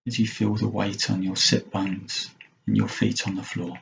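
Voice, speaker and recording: A man leading a guided mindfulness meditation as a teacher, speaking gently and unhurriedly in short phrases with long pauses. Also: lowish voice, little pitch movement, vocal fry, a little hiss or hum, low-quality recording.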